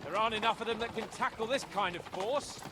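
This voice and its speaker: An actor playing a rough, indignant medieval man-at-arms in a game cutscene, agitated and crude. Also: sits high, fast talker, natural voice. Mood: indignant, vengeful. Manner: rough, foul-mouthed, agitated. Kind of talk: video game cutscene acting. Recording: clear outdoor horse-riding noise. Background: horses, hoofbeats, ambience.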